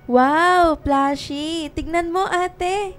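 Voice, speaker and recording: A woman's voice: very high-pitched, drawn-out words, full character voice. A woman acting a sweet, bubbly, eager little girl in a radio drama. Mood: delighted. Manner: bubbly, childlike, pleading. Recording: music bed behind the voice.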